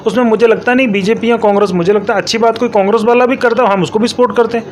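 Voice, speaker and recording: A man with a notably high voice speaks as an elected councillor being interviewed. He explains his position emphatically, circling back over points and mixing in English terms. Faint but clear background noise sits behind him. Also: fairly even intonation, racing. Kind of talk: interview answers to a reporter.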